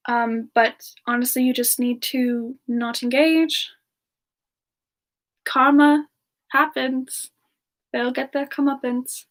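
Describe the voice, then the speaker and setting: A woman voicing a gentle, affectionate female frost demon in a semi-ASMR fan Q&A, soft-spoken and hesitant, drifting toward whispers. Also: high-pitched. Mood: calm, reassuring. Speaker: ASMR character performer answering viewer questions. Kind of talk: semi-ASMR in-character livestream Q&A.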